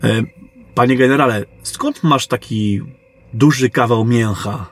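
A man playing a general's cheeky, eager, hungry sidekick in a fantasy audio drama, with a raspy voice. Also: medium pitch, a little slow, soft background music, close to an everyday voice.

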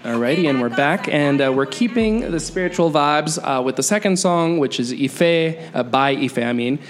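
A man's voice: mid-range voice, moderate pace. A man hosting a music podcast, chatting casually with slang and lots of fillers. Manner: relaxed, laid-back, lots of fillers and slang. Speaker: podcast host. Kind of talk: casual interview chat.

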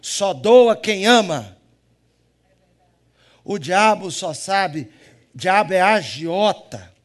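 A man from Minas Gerais preaching with a Mineiro accent and a voice pitched a touch high. He jokes with the congregation and swings from loud, emphatic lines to soft, quiet asides. Delivered as a live church sermon. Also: animated, very slow. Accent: Minas Gerais Brazilian Portuguese. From Minas Gerais, Brazil. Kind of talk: lively humorous sermon.

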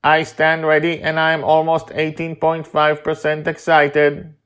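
Calculating storybook mastermind, performed by a man, formal and exact, pitched up from his usual talk. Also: completely flat delivery, measured pace, a bit bright, clear, resonant tone. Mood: proudly precise.

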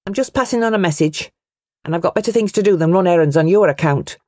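A woman voicing a proud, sharp-tongued farm mother in her thirties in a storybook. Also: lowish voice, fast talker, dry recording, clean studio sound, pitched above the performer's own voice, close to an everyday voice. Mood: proud, indignant.

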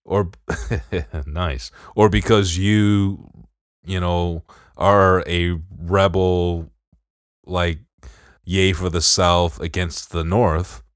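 A man with a Detroit accent and a very low voice, talking in loose, profane podcast banter. He keeps it laid-back and easy, leaning on "bro". The sound is dry and close. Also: fairly even intonation, drawn-out words, no room sound. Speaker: podcast co-host. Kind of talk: casual chat.

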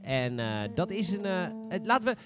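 Male podcast host, fairly high-pitched, informal and blunt, conversational, soft music in the background. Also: big pitch swings, normal speed. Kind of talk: casual chat, steering the discussion.

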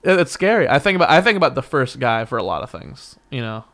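A man's voice: mid-range voice, big pitch swings, fast, a bit bright. A young man hosting a podcast, from Kansas, with a slight Midwestern twang. He rambles through casual chat, joking and restarting his sentences as he goes. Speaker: podcast host.

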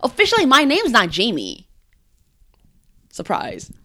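A woman's voice: a little high, wildly swooping pitch, a little slow, bright, forceful tone. A woman of Korean background in casual podcast chat, explaining her views earnestly with lots of "like". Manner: earnest, explains with many likes. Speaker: podcast co-host.

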